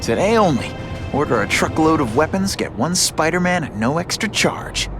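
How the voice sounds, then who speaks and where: A youthful, earnest game superhero. He sounds casual and quippy in a somewhat high register, with soft music behind him. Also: big pitch swings, normal speed. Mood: wry, determined. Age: young adult. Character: wisecracking young male superhero, earnest and inquisitive. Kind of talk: video game acting.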